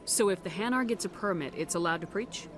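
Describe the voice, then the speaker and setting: A woman's voice: lowish voice, fairly quick, lightly acted. Woman as composed, direct military heroine. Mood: diplomatic. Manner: direct, firm. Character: composed, assertive female military hero, fair-minded. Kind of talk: video game character acting. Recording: game music behind the voice.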